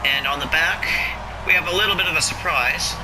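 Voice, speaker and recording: A man's voice: fairly high pitch, a little fast. A young man giving casual, self-deprecating commentary on his own sim racing laps as a streamer. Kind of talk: live gameplay commentary. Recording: loud noise of racing game audio behind. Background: engine noise from the racing game.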